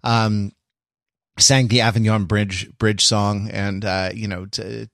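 A man hosting a podcast in casual chat, rambling and haltingly repeating his phrases as he goes. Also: fairly low pitch, little pitch movement, creaky, fairly bright tone, no echo, clean studio sound. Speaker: podcast host.

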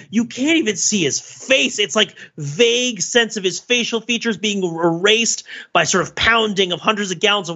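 Bright-voiced man, animated podcast rambler. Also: sits high, breathy edge, muffled recording. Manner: animated, enthusiastic, rambling with many asides. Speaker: podcast co-host. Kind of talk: casual film discussion, analytical chat.

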